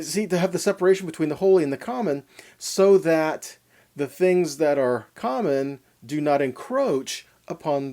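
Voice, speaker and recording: Mellow, expressive man teaching scripture. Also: on the high side. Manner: didactic, asks and answers own questions, checks in with "okay". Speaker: teacher of a weekly Torah portion. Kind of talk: Bible teaching, explaining and reading scripture.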